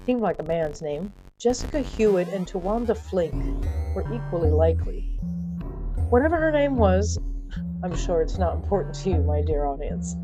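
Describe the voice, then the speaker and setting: A woman's voice: fairly low pitch, rough recording. A woman narrating a scripted comic fantasy story in a theatrical, wry way, with asides to her audience. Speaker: storyteller of a fiction podcast.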